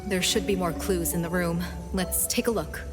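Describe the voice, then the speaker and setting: Brisk actress as a female field agent. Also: on the low side, fairly even intonation, natural voice. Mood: brisk, confident. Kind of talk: scripted game dialogue. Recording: music clearly playing behind.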